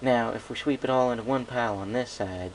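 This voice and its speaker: A man's voice: medium pitch, a little fast, voice pushed up, natural voice. A man voicing a protective big-sister pony, a direct, folksy country mare, reading a story. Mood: kindly encouraging. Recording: noisy home recording.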